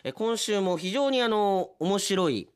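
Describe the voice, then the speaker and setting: A man's voice: sits high, expressive, unhurried, smooth. A man hosting chatty radio after-show talk, reading out submissions with playful asides. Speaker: radio host and announcer.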